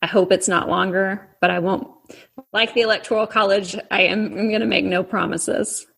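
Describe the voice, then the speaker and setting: A woman's voice: mid-range voice, fairly even intonation, normal speed, gravelly, a bit bright, husky. A woman from Texas with a faint Texas accent, an author explaining things patiently to a child in a casual interview. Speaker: author guest.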